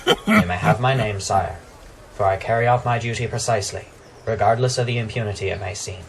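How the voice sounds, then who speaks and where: A man's voice: on the low side, brisk, a little hiss or hum, lightly acted. Man as a stern knight gatekeeper, slightly distant. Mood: firm, respectful. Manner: firm, formal, proclaiming. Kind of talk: fantasy radio drama acting.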